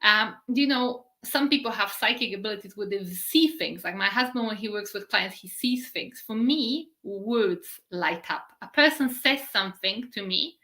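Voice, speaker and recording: A middle-aged woman gives a spiritual talk on a live stream, slowly drawing out key words. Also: medium pitch, pushed and bright. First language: Polish. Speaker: spiritual teacher.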